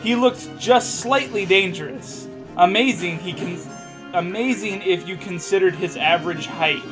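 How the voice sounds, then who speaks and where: High-voiced, unhurried sarcastic male reader. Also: music in the background, poor audio. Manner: sarcastic, theatrical, stumbles over text. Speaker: podcast co-host reading fan fiction aloud. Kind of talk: mocking read-aloud with joking asides.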